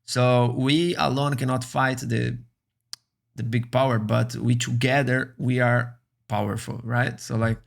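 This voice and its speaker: A man whose first language is Brazilian Portuguese, speaking English earnestly and haltingly as a streamer reacting to a video. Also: medium pitch, little pitch movement, vocal fry. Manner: earnest, halting, many restarts.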